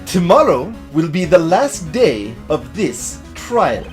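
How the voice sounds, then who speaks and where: An arrogant older prosecutor villain, acted out by a man, speaks with wide, theatrical swings of pitch. He is pompous and menacing, dripping with contempt in a courtroom video game style, with music behind him. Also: sing-song, slow pace, darkish tone. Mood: contemptuous.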